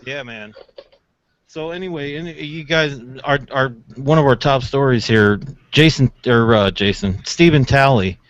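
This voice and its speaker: A man's voice: medium pitch, measured pace. An American man hosting a radio show in casual chat, rambling informally through his stories. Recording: dull, lo-fi audio.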